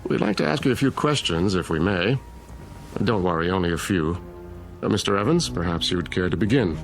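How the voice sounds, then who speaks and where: Low-voiced, smoothly courteous mayor. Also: male voice, expressive, faint music behind, low-quality recording. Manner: formal, courteous, measured. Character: unflappable, smoothly polite small-town mayor with veiled menace. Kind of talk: audio drama acting.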